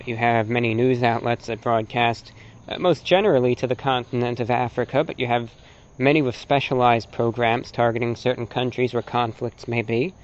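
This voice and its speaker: Man, mid-pitched voice, emphatic and deliberate, opinionated lecture delivery, slightly dull lo-fi recording. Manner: emphatic, deliberate, rhetorical phrasing with repetition. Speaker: host and presenter, radio broadcaster. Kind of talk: opinionated informal lecture on radio.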